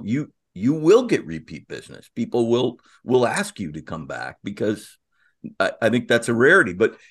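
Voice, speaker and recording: A man co-hosting a casual interview, effusive and halting, often repeating his phrases as he goes. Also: mid-range voice. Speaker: podcast co-host.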